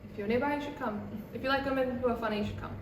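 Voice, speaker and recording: A woman's voice: on the high side, very fast. Chatty young woman in an echoey room. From Australia. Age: 20s. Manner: relaxed, chatty, with many fillers like "um" and "like". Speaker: comedian and comedy night producer. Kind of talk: casual interview answers. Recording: echoey room, mic far off, noisy background.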